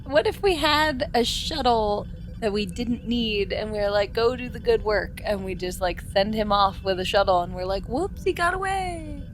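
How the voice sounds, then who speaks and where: A woman's voice: medium pitch, lively intonation, moderate pace, slight background noise. A woman casually improvising banter as a player in a tabletop role-playing game.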